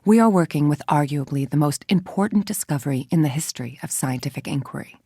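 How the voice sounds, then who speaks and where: A woman's voice: deep voice, lively intonation, fast, mellow, slightly rough, no room sound, studio quality, heavily acted. An actress playing a cold, self-righteous woman scientist who is a video game villain, speaking with controlled, condescending precision. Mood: clinical, stern. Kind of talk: video game voice acting, scripted dialogue and briefings.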